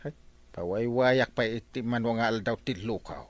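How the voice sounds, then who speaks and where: An actor with an Ilocano accent playing a complaining, exclamatory village uncle in a radio comedy drama. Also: male voice, mid-range voice, moderate pace, no echo, close to an everyday voice. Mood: exasperated.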